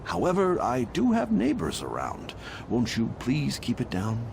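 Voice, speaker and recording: Gravelly, genial old master. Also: male voice, medium pitch, huge pitch swings, measured pace, a little mellow, slight background noise, full character voice. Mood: placating, mild. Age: older. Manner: mild, courteous, placating. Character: genial, self-deprecating old male master painter. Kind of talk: dramatic English video game dub acting. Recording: music behind the voice.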